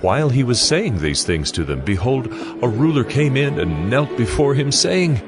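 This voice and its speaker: A man's voice: mid-range voice, very animated, moderate pace. A man narrating a dramatized audio Bible in a solemn storytelling manner. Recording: music behind the voice. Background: background music.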